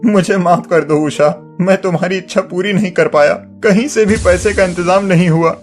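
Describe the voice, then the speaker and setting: An actor playing a humble, honest poor village carpenter, deferential and pleading, in a dramatized moral story. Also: male voice, high voice, normal speed, faint music behind, close to an everyday voice. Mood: sorrowful.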